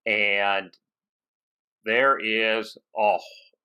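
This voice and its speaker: A man's voice: on the low side, level tone, extremely slow, sharp tone, clean tone. A man hosting a casual interview, conversational and anecdotal, thinking aloud as he goes. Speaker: podcast host interviewing a radio operator.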